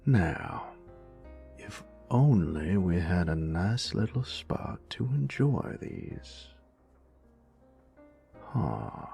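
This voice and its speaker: An extremely deep-voiced, flirtatious male lover, acted by a man speaks in an intimate ASMR roleplay. His delivery is slow and teasing, with a heavy gravelly fry and a folksy, doting manner. It turns breathy and whispery in places. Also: warm tone, exaggerated voice. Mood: playful, upbeat.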